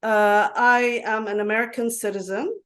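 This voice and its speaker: Woman from yemen on a video call, kinda high voice, lots of uhs and restarts, earnest. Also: fairly even intonation. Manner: earnest, halting with many fillers and restarts. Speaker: conference speaker. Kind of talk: online conference talk. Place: home in Dubai.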